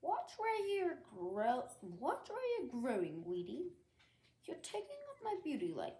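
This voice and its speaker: Woman putting on a snooty, high-pitched rose. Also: wildly swooping pitch, a little slow, warm tone, roomy sound, exaggerated voice. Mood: scornful. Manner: snooty, scolding. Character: haughty, rude rose flower, vain female bully.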